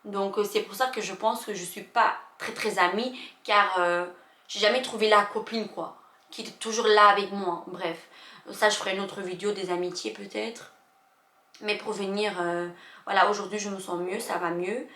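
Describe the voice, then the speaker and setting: A young woman vlogger, not a native French speaker, telling a personal story in an emotional, halting way with many fillers and restarts. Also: mid-range voice, fairly even intonation, sharp tone. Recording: echoey room.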